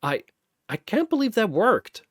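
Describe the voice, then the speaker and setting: A man voicing a hapless young pirate in an animated battle story, nervous and blustering, with a rambling, theatrically indignant way of talking. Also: high-pitched, measured pace, breathy edge, no room sound, clean studio sound, voice pushed up, exaggerated voice. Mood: stunned disbelief.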